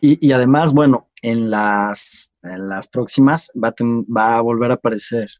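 A Mexican film director talking casually in an interview, halting with many restarts and fillers. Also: male voice, medium pitch, a little mellow, dry recording.

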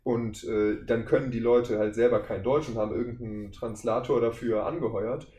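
A man's voice: medium pitch, steady tone, moderate pace, mellow. A man with a German accent chatting casually as a podcast guest, telling work anecdotes in an informal way. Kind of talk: casual interview chat with anecdotes. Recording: roomy echo, faint background noise.

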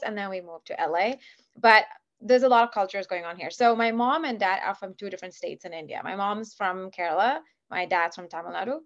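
A woman in her thirties who grew up in Kuwait talks as a guest on a podcast, lively and animated with quick asides. Her voice is mid-pitched, and the sound is a little dull and lo-fi. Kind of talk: casual interview chat.

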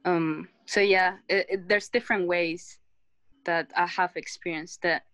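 A woman's voice: on the low side, steady tone, unhurried, fairly bright tone, no echo. Female discussion participant, conversational storyteller, wry when acting out quotes, very dry close recording. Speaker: participant sharing personal experiences in a group discussion.

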